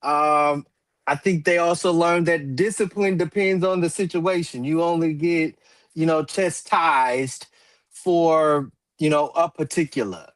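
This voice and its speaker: Slow-talking man in his forties, dry studio sound. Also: a little high, fairly even intonation, no room sound. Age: 40s. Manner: measured, many fillers and restarts, clears throat. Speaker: talk show host. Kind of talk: roundtable discussion.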